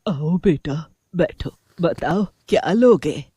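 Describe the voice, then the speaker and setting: A woman voicing a sinister old village granny who is secretly a witch in a horror cartoon, slow and menacing. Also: fairly low pitch, animated, mellow, husky, dry recording, studio quality, full character voice. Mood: sly, guarded. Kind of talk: Hindi horror cartoon voice acting.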